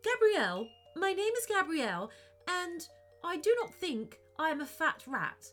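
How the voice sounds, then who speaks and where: A woman voicing a squeaky, scheming male rat thief in a storybook reading. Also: very animated, measured pace, fairly bright tone, soft background music, exaggerated voice. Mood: wary. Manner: sly, turns menacing.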